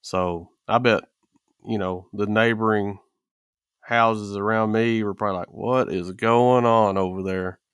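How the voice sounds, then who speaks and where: A man's voice: fairly low pitch, fairly even intonation, measured pace, fairly bright tone, clean tone, dry recording, clean studio sound. A man in a casual podcast chat, telling stories with folksy, rural phrasing. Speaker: podcast co-host.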